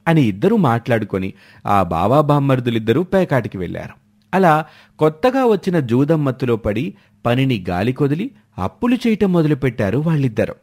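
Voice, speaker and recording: A man works as a storyteller, delivering scripted narration. His voice sits at a medium pitch. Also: sing-song, a little fast, darkish tone.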